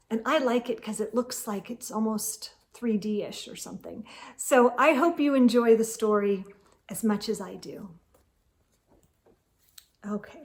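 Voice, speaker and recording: A woman hosting a children's storytime, reading aloud expressively in a measured, sing-song storytelling way. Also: on the high side. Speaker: children's storytime reader and host. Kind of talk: expressive picture-book read-aloud.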